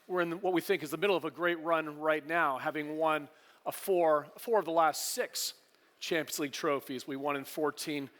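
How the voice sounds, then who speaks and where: Man presenting in an echoing hall. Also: fairly high pitch. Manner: relaxed, joking asides with frequent uh fillers. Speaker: conference speaker, partnerships executive. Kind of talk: business presentation to a live audience. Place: conference hall. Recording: long hall reverb.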